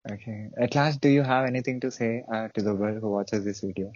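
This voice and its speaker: A man hosting a casual online interview, speaking haltingly with frequent restarts and "uh"s. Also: on the low side, darkish tone, lo-fi sound. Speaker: interview show host.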